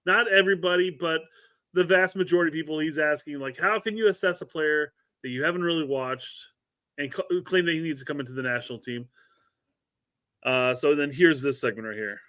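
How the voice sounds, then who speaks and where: Bright-voiced man, stammering restarts. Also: fairly high pitch, a little fast. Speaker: host. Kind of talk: casual podcast chat.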